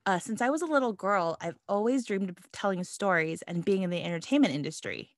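A woman's voice: medium pitch, animated, fairly quick, no room sound. Upbeat woman podcast host, dry sound. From Los Angeles. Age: 30s. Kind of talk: scripted self-introduction.

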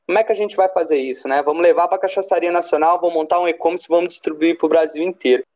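A man's voice: on the high side, fairly even intonation, fast talker, darkish tone. A man with a Minas Gerais accent, an interview guest who explains his business in an easygoing way and often tags his sentences with a question. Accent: Minas Gerais Brazilian. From Brazil, born in Brasília. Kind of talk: relaxed interview answers.

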